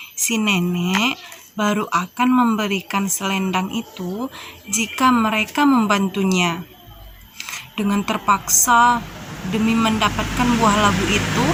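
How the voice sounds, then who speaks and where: Slow-paced young woman storyteller. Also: medium pitch, a bit bright. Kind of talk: scripted children's story narration. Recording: clearly noisy background.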